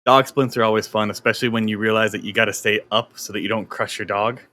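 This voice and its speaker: A man's voice: fairly low pitch, steady tone, fast, bright, forceful tone. A young adult man chatting casually on a game stream, explaining workouts in a coaching way, often restarting his sentences.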